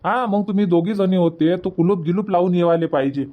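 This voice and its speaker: A man's voice: high voice, a little fast, narrow, tinny audio, close to an everyday voice. A man voicing an exasperated village husband in a cartoon comedy, insistent and repetitive, with a Varhadi Marathi accent. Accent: Varhadi Marathi from Vidarbha.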